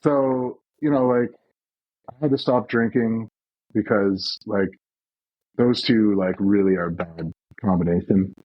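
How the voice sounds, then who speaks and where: Husky-voiced man in his forties, rambling. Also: lowish voice, measured pace, muffled recording. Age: 40s. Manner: rambling storyteller, many fillers and restarts. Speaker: podcast guest. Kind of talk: casual chat.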